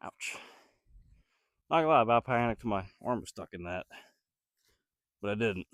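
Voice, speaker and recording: A man with a slightly low, nasal voice from a cold, thinking aloud as he builds something outdoors. He rambles and hesitates and stops to find his words while chatting to his viewers. The sound is dry, with no room echo. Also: expressive, moderate pace, a bit bright. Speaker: DIY builder vlogger. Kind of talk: casual self-talk while building.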